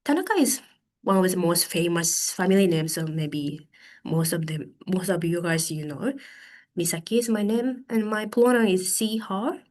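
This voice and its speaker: A Japanese woman in her thirties speaking English as a second language, giving a conference talk over a video call, careful and halting with many fillers, a little stuffy from hay fever. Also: on the low side, lively intonation, a little mellow. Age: 30s.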